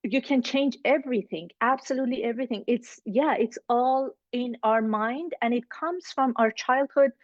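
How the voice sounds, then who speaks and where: A woman's voice: fairly high pitch, normal speed, few pauses, no room sound. A woman with a Middle Eastern accent speaks earnestly as a mindset coach, repeating key words to drive them home. English is not her first language. Her voice comes through dry, muffled video-call audio. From Middle East. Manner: earnest, motivational, repeats for emphasis. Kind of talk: online networking circle pitch.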